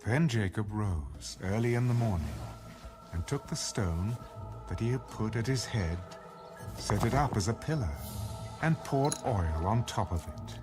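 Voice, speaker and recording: A man narrating scripture as a measured, dramatic audiobook storyteller. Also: fairly low pitch, animated, over music.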